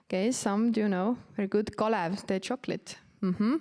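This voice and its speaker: Woman, Estonian accent, native Estonian speaker, mid-range pitch, informal student presentation, casual, hesitant, frequent pauses. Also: steady tone, darkish tone. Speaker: university orientation presenter.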